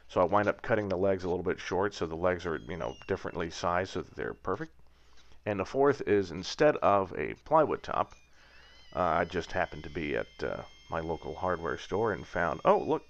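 A man in his thirties talks casually and wryly over his footage, drifting into mock rants. His voice sits very low with a gravelly fry. The recording sounds a little dull and lo-fi. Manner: rambling, wry, prone to mock rants. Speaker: aircraft builder vlogger.

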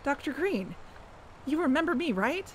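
A woman's voice: sits high, very animated, normal speed, slight background noise. A woman playing a dogged, impatient investigator in a podcast audio drama. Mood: curious. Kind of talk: audio drama acting with narration.

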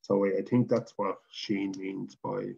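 A man's voice: lowish voice, little pitch movement, a little slow, gravelly, dark tone, dull audio. A middle-aged Irish man who stutters, speaking as a guest on a video call from home. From Ireland. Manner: stutters, with repeated sounds and blocks. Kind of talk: casual conversation. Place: home room.

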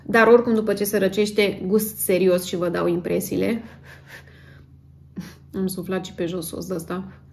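A woman's voice: mid-range voice, little pitch movement, creaky, pauses often. A woman in her thirties from Romania, chatting casually to camera in a chatty, self-dramatizing way, as a vlogger at home. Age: 30s. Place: home kitchen, indoors.

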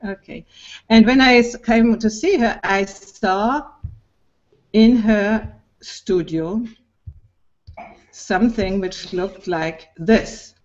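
A woman's voice: medium pitch, takes it slowly, pauses often, darkish tone. A middle-aged woman host steering guests and giving directions on an online call. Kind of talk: hosting an online party call. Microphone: video call. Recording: muffled video call audio.

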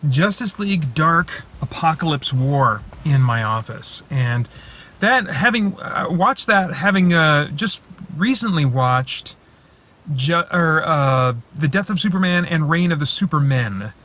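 A man's voice: a little high, unhurried. A man in his thirties giving a casual, rambling film review from inside a parked car, recorded on a phone held at arm's length. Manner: animated, rambling with many fillers and restarts. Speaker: vlogger reviewing films.

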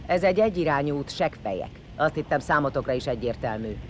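An actor in an audio drama playing a vengeful, bloodthirsty warrior leader who sneers, swears and barks orders. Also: male voice, on the high side, expressive, slight background noise, lightly acted. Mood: curt, irritated. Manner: sneering, foul-mouthed, barking orders.

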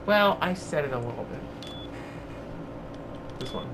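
A man's voice: fairly high pitch, big pitch swings, measured pace. Goofy man gaming over noisy background. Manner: jokey silly slang, mild mock insults. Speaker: gaming channel host, player. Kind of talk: casual playthrough chat. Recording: noisy, game sound behind voice. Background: horror video game ambience.

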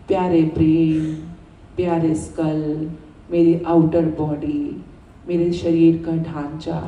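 An Indian-accented woman guides a gratitude meditation in a low, unhurried voice. She repeats soothing affirmations deliberately, leaving space between phrases. The recording has a roomy echo, as if the mic is at some distance. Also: mellow, slight background noise.

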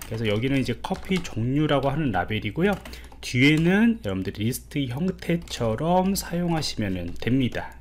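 A man teaching a programming lesson over a screen recording, explaining in a relaxed way with fillers and rhetorical questions. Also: a little high, slight background noise. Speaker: programming instructor. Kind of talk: screen-recorded coding tutorial.

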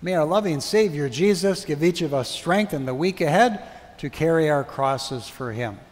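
Middle-aged man, pastor, measured liturgical reading, slightly high voice, long church reverb. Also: expressive, a little mellow. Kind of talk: liturgical prayer and blessing. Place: large brick church sanctuary.

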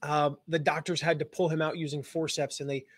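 A man's voice: on the high side, level tone, brisk, slightly rough, dry recording, studio quality. A man giving a casual live-stream business lesson, rambling and joking with lots of fillers and restarts. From Southern United States. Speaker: online business coach.

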